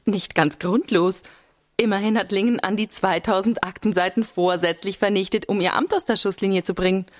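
An actress playing a cool, combative senior official in a radio drama, speaking formally and pointedly. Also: female voice, mid-range voice, steady tone, fairly quick, no echo, studio quality, lightly acted. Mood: confrontational.

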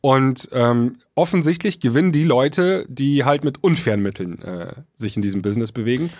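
A man's voice: mid-range voice, lively intonation, moderate pace, a little mellow, no echo, studio quality. A man with a German accent co-hosting a casual podcast discussion, explaining things and often seeking agreement with little tag questions. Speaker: podcast co-host.

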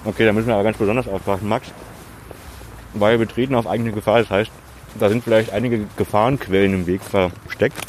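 Deep-voiced, fast-talking man outdoors. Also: steady tone. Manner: joking, teasing banter. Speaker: interviewer and vlog host. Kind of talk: casual street interview. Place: outdoors in a residential area. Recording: loud outdoor street noise.

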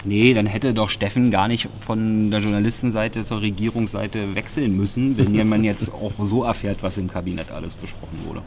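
A man's voice: lowish voice, steady tone, normal speed, creaky, hardly any pauses, darkish tone, a little hiss or hum. A man from Berlin co-hosting a podcast, recapping press conferences in a wry, sarcastic, rambling way. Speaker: podcast co-host.

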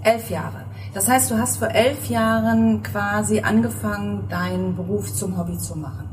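A woman's voice: mid-range voice, steady tone, moderate pace, recorded from a distance, a little hiss or hum. A middle-aged woman hosting a podcast interview, talking casually with many fillers and restarts, on an outdoor terrace. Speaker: podcast host. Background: passing people and cars, music in the intro.

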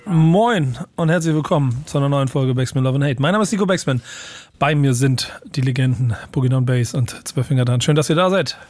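A man hosting a casual podcast chat, a bit tired and with a slight cold, moderating with relaxed fillers. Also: mid-range voice, fairly quick. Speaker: host.

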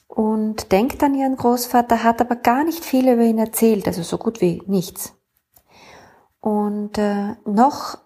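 A woman's voice: mid-range voice, mellow, husky. A woman who runs family constellation sessions, telling stories from her work in a loose, rambling way with many fillers and self-corrections. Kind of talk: casual storytelling monologue.

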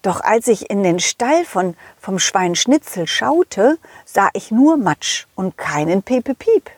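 A woman's voice: mid-range voice, breathy edge, no room sound, pitched below the performer's own voice, full character voice. A woman voicing a friendly, eager male dog who narrates a children's audio story expressively, speaking directly to the kids. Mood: concerned, storytelling. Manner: expressive, addresses children directly, halting delivery. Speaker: host and narrator.

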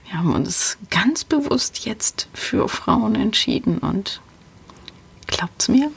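A woman's voice: medium pitch, animated, slow pace, vocal fry, husky. A woman hosting a podcast, talking casually and thinking aloud as she answers listener questions. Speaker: podcast host.

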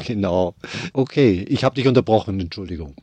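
Bavarian man hosting, husky low voice. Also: moderate pace, mellow, no room sound. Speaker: podcast host, orchestra violist. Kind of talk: scripted intro then casual chat.

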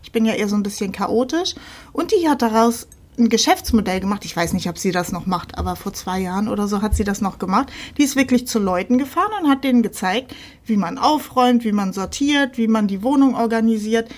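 A woman's voice: mid-range voice, fairly quick, a little mellow. A woman co-hosting a podcast in a casual chat, speaking in an informal, colloquial way. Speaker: podcast co-host.

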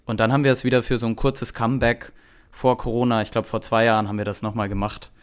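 A man from Bavaria, a guest in a podcast interview, talking casually in a relaxed, chatty way with many fillers. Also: medium pitch, fast talker, clean tone.

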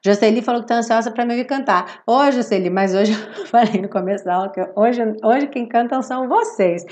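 A woman with a Brazilian accent, hoarse from a cold, chatting expressively and affectionately as she hosts a live online class. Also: on the high side, brisk, darkish tone, a little hiss or hum. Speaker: English teacher hosting a live online music-based class. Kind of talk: informal livestream chat with viewers.

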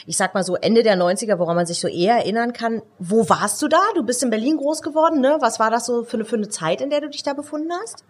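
Quick, husky woman interviewer. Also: a little high, darkish tone. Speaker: podcast co-host, interviewer. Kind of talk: casual conversational questioning.

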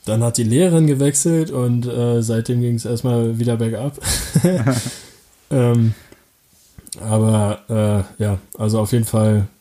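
A young man from Germany answering interview questions casually, halting often with fillers, restarts and quick laughs. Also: medium pitch, warm tone.

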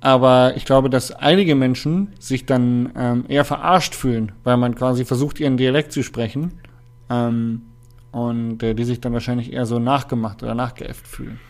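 Male co-host from Berlin, casual, hesitant with filler pauses, remote call. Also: mid-range voice, fairly even intonation. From Berlin, Germany. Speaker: podcast co-host. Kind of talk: casual chat.

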